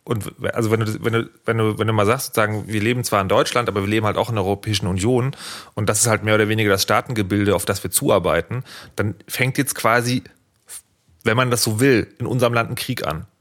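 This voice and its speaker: A man from the former East Germany talks in a low voice. He thinks out loud and circles back, with many fillers and restarts. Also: steady tone, quick pace. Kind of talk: casual discussion.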